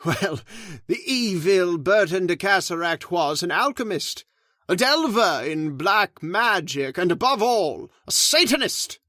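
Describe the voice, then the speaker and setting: Guy doing a grave old caretaker in a radio play, slow and ominous, dry studio sound. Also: sits high, big pitch swings, pushed and bright, dry recording, exaggerated voice. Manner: slow, ominous, measured delivery. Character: grave, solemn male storyteller of the house. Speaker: Mister Roberts, old manor caretaker telling the legend. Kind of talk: old-time radio drama acting.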